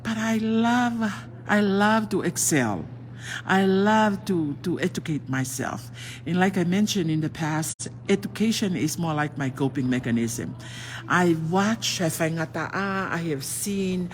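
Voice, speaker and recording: A woman's voice: on the low side, animated, measured pace, a little hiss or hum. A middle-aged Tongan woman whose first language is Tongan, giving an earnest motivational talk on a phone livestream and often asking herself rhetorical questions. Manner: earnest, asks rhetorical questions and answers them; switches between languages mid-sentence. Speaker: livestream host.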